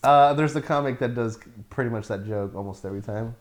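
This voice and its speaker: A man's voice: mid-range voice, brisk, reverberant. A man, a comedian, chatting casually on a podcast; he stutters and lets his phrases trail off. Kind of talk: casual banter.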